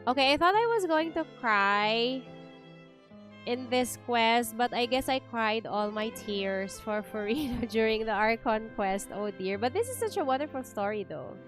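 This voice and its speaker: A woman's voice: on the high side, animated, moderate pace, a bit bright. A woman with a Filipino accent chatting casually and reacting as she streams a game. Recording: faint game music behind the voice.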